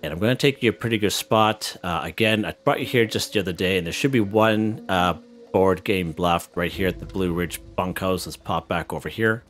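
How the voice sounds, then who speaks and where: A man hosting a casual gameplay tutorial, relaxed and step by step, who is losing his voice. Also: low voice, fairly quick. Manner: relaxed, walks viewers through step by step. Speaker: gaming channel host.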